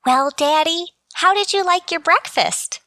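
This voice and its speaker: A woman's voice: extremely high pitch, unhurried, pushed and bright, no echo, clean studio sound, heavily acted. A woman playing an earnest, polite teenage girl, a principal's daughter, in a radio comedy. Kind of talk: old-time radio comedy acting.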